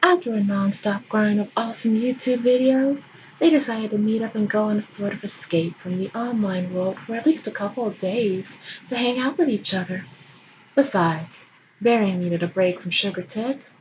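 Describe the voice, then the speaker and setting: A woman's voice: medium pitch, moderate pace, a little hiss or hum, poor audio. A woman giving a comic dramatic reading of fan fiction as host, in a theatrical, irreverent manner.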